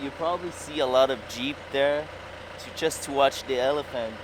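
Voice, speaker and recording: A man's voice: medium pitch, normal speed, noisy background. A man from Montreal vlogging from a safari jeep, chatty and teasing his travel partner. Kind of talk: casual travel vlog chat.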